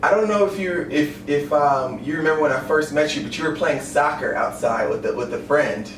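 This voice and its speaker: A man's voice: on the high side, a little fast. A man hosting a casual podcast interview as an online personal trainer, friendly and encouraging, speaking loosely with fillers. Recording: roomy, distant mic, ambient noise.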